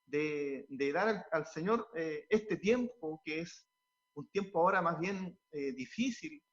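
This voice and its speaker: Man, pastor, earnest, halting, repeats words, fairly high voice, muffled lo-fi sound. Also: expressive. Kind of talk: interview answers, turning preachy.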